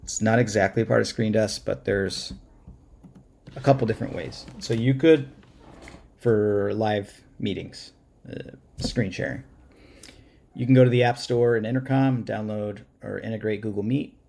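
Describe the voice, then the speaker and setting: A man giving a casual, unscripted software walkthrough, informal and halting as he thinks aloud at his computer. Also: lowish voice, gravelly. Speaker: software tutorial presenter. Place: indoors at a computer.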